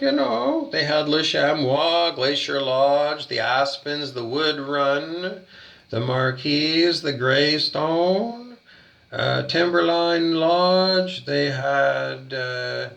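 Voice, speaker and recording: A man's voice: on the high side, extremely slow, gravelly, fairly bright tone, highs cut off. A Canadian man rambling through a profane rant, full of restarts and fillers. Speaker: hotel guest complaining.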